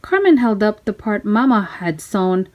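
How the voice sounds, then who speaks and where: A woman narrating a children's storybook aloud in an expressive, deliberate way. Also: medium pitch.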